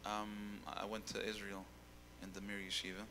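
A young adult man, a singer as guest, talking casually into a handheld mic on a dark stage, often repeating words as he thinks. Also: lowish voice, monotone, moderate pace, in a large hall, noisy background. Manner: rambling, repeats words while searching.

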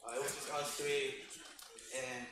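A man's voice: medium pitch, flat delivery, pushed and bright. Young man with a Guatemalan accent, echoey hall. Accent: Guatemalan Spanish. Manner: earnest, halting, with fillers. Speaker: choir member giving testimony. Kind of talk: personal testimony. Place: large church sanctuary, on stage. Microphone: handheld mic. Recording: echoey hall, from far off, background noise.

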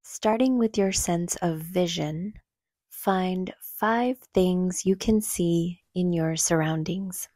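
A woman leading a guided meditation in a soothing, gentle, unhurried manner, with soft breathy phrase endings. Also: fairly low pitch, dry recording, clean studio sound. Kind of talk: calm guided meditation narration.

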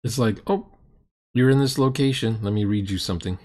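A man's voice: fairly low pitch, brisk, a little mellow. A middle-aged man giving casual, critical commentary on a game recording, exasperated, mocking and emphatic. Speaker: host reacting to a game recording. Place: dim room indoors.

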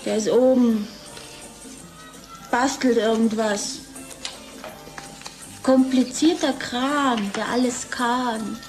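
An actress playing a bored, tipsy wife in a German radio play, sardonic and dreamy, with a lazy teasing drawl. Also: female voice, on the high side, fairly even intonation, slow, pauses often, over music, poor audio. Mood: languid, teasing. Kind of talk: radio drama acting.

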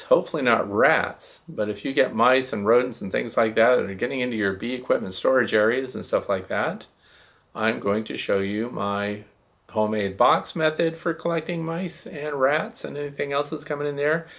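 An American man in his sixties with a gravelly voice, explaining things casually and emphatically as the host of a question-and-answer show. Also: medium pitch, normal speed, fairly bright tone. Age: 60s. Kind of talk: casual explanatory talk to camera. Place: small indoor room, seated at a desk.

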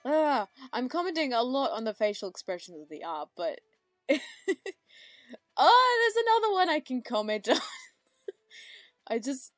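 A woman's voice: high-pitched, sing-song, a little slow, long pauses, no room sound, clean studio sound. A woman giving casual, gushing, giggly reaction commentary.

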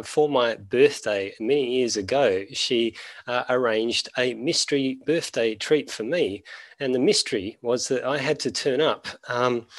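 A man's voice: mid-range voice, dry recording. Headset-miked man lecturing over video call. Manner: conversational, many fillers and restarts. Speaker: keynote speaker, veterinary professor. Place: small office at home.

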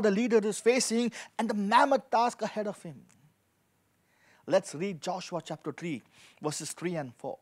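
A man in his forties preaching a sermon as a pastor, reading scripture and repeating key phrases with emphasis into a handheld microphone. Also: high voice. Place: indoor studio with dark backdrop, at a lectern.

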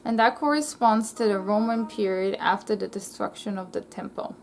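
Young woman vlogging, slightly gravelly. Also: mid-range voice, normal speed, a little hiss or hum. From Israel. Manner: chatty, reads notes with frequent ums and self-corrections. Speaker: language vlogger. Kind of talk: casual educational vlog. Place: small bedroom, indoors. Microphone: laptop webcam mic.

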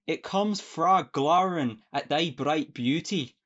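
A man's voice: a little high, takes it slowly, fairly bright tone, dull audio. A man playing a sentimental, devoted young peasant lad in a farcical radio play, with a broad rustic Scottish accent. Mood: tender, earnest.

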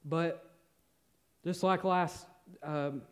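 A young man preaching a sermon on a church stage, earnest, shifting between loud emphasis and soft, near-whispered moments. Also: a little high, fairly even intonation, very slow, clear, resonant tone. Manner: paces the stage gesturing with both hands. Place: church stage in a large hall.

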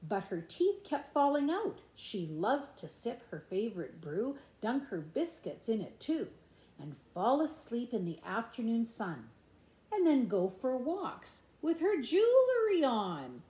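Very animated, high-voiced woman reading a storybook. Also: a little slow. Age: middle-aged. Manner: expressive, sing-song, playful, exclaiming at the pictures. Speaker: grandmother reading a picture book to grandchildren. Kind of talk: animated children's storybook read-aloud with asides. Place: indoors in a room at home. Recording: echoey room.

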